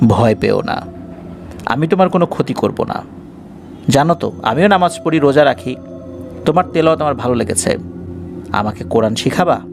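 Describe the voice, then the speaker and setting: A man voicing a gentle, pious female fairy spirit from under the sea in a horror story, coaxing and reassuring. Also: mid-range voice, expressive, normal speed, creaky, pauses often, soft background music, voice pushed down.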